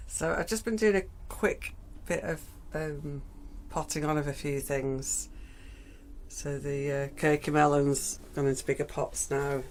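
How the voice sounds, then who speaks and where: A woman vlogging casually from her garden greenhouse, her voice croaky after a cold, chatting in a rambling way with frequent ums. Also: sits low, fairly even intonation, measured pace, darkish tone, a little hiss or hum. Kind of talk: casual gardening vlog chat.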